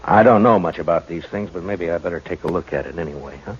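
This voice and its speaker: A man gives voice to a steady frontier marshal in an old radio western, his voice very deep and unhurried. He drawls with little hesitations and keeps a firm, calm hold. The broadcast sounds muffled, with a clear hiss under it. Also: close to an everyday voice. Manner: measured, hesitant drawl with many uhs. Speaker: lead actor and narrator. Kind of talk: 1950s radio drama acting.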